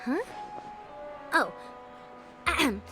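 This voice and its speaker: A woman's voice: high voice, animated, drawn-out words, music in the background, heavily acted. An actress playing a proud, old-fashioned female scholar in a game, with formal, archaic phrasing.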